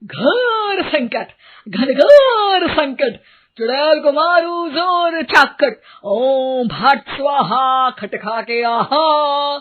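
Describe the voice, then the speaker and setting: A woman voicing a pompous male exorcist in a comic cartoon, theatrical and given to booming declarations. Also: lively intonation, slight background noise, exaggerated voice. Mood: pompous chanting. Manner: theatrical, booming, drawn-out chanting. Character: pompous male exorcist chanting homemade mock spells. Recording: thin, phone-like narrow-band sound.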